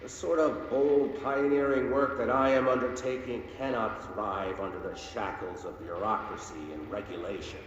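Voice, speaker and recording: An actor playing an arrogant, self-important male doctor who dictates research notes in a deliberate, pompous way for a video game. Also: medium pitch, fairly even intonation, highs cut off, close to an everyday voice. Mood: grandiose. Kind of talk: scripted video game monologue, audio log. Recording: echoey hall, long reverb, loud noise.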